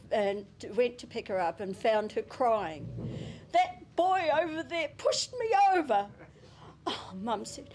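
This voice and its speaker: Slow, gentle New Zealand woman. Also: fairly high pitch, slight background noise. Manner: gentle and unhurried, with soft chuckles. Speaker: family member giving a eulogy. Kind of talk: reading a prepared tribute and poem. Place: memorial service venue. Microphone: handheld microphone.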